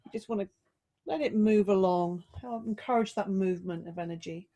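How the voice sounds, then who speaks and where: Woman yoga teacher doing guided relaxation, medium pitch, slow gentle instructions w lots of pauses. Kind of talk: guided relaxation and body check-in.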